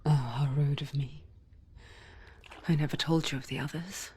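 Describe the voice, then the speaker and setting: An actress voicing an intimate, ominous narrator in a video game, telling a dark tale slowly in a breathy, confiding whisper. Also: female voice, low voice, fairly even intonation, slight background noise, close to an everyday voice. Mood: hushed, confiding. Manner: slow, confiding, close to the ear. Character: intimate, knowing female narrator spirit, calm and ominous. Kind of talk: hushed storytelling addressed to the listener.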